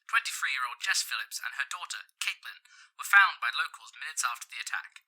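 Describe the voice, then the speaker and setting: A man's voice: medium pitch, lively intonation, a little fast, pushed and bright, low-quality recording. A man playing a formal news reporter heard over a radio, reading a scripted bulletin. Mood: serious, measured.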